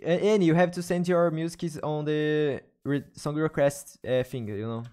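A young man from Brazil with a Brazilian Portuguese accent, chatting with viewers in halting second-language English while gaming on a live stream. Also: a little high, fairly even intonation, dark tone, clean tone. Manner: halting non-native English, restarts and searches for words. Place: small room at a desk. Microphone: studio mic on arm, headphones.

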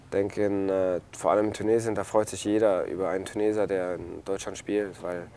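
A young man in his twenties from Germany, answering interview questions outdoors in a relaxed way, with many fillers and restarts. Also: sits low, fairly quick, a little hiss or hum. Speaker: interviewee, footballer. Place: outdoors at a stadium and a café terrace.

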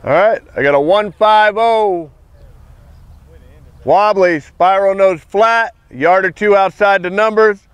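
A man with a high, smooth voice reads off punt numbers in quick, clipped calls. He stands outdoors by a football field, caught by the camera's own mic from off-screen. Every call follows the same routine. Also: no echo. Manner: brisk, repetitive stat calls. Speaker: kicking coach timing punts. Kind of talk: clipped play-by-play evaluation.

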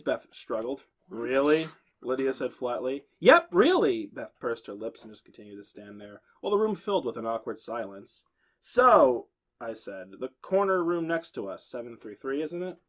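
A young man hosting a podcast, reading a horror story aloud to friends and pitching up for the girls' lines. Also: big pitch swings, moderate pace.

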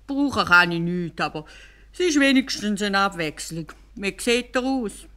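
Woman voicing an excitable, pampered storybook caliph. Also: medium pitch, moderate pace, pitched above the performer's own voice. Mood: curious. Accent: Swiss German. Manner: drawling and sighing, or gushing with exclamations. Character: bored, excitable, pleasure-loving male caliph.

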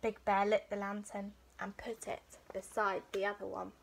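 A woman's voice: mid-range voice, completely flat delivery, moderate pace, creaky, slight background noise, poor audio. A young adult woman narrating a children's bedtime story expressively, like a teacher reading aloud indoors. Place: small furnished room indoors.

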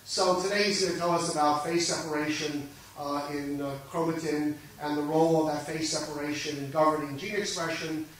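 Man, 60s, organizer's welcome, measured and upbeat, fillers, slightly high voice, handheld mic, very reverberant hall, distant. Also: steady tone, a bit bright, slight background noise. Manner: fluent but filler-heavy, measured, enthusiastic. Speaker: conference organizer introducing speakers. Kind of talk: welcome speech and speaker introduction. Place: large conference hall, indoors. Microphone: handheld microphone. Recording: echoey hall, picked up from a distance.

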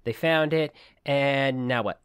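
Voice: mid-range voice, slow pace, clear, resonant tone, no echo, studio quality. A podcast co-host chatting casually about books. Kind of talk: casual book discussion chat.

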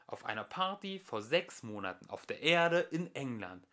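A man's voice: mid-range voice, big pitch swings, moderate pace, pushed and bright. A man voicing a stubborn, irritated male earthling who speaks curtly and coldly. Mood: frosty. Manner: curt, frosty, insistent.

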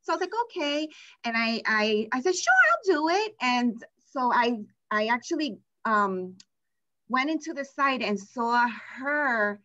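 Bright New York woman on a muffled webcam. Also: fairly high pitch, a little slow. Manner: animated, devout, exclaiming praise. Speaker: guest participant. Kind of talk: casual video call chat. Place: room indoors. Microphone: video call webcam.

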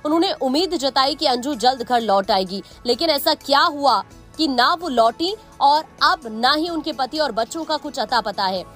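A woman's voice: high-pitched, expressive, moderate pace, a bit bright. A woman with an Indian accent reading a scripted news report as narrator. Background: background music.

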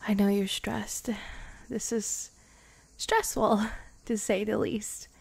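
A woman voicing a devoted vampire girlfriend in an intimate ASMR roleplay, breathy and often slipping into a whisper. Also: medium pitch, fairly even intonation, no room sound, exaggerated voice. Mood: soft, wistful. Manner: slow, intimate, hushed, sighing. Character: devoted, lovestruck vampire bride, tipsy and intimate.

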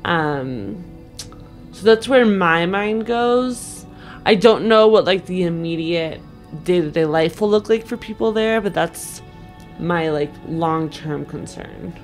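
A young woman with a fairly low voice talks casually over background music. She is informal and emphatic, building her thoughts in loose pieces as a player discussing a tabletop story. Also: lively intonation, slow pace. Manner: informal, emphatic, frequent filler "like". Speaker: podcast panelist and tabletop game player. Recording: music playing behind the voice.

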